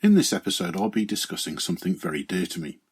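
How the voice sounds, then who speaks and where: Middle-aged male presenter, low slightly raspy voice, earnest reflective scripted monologue. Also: sing-song, quick pace, a little mellow. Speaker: podcast segment presenter.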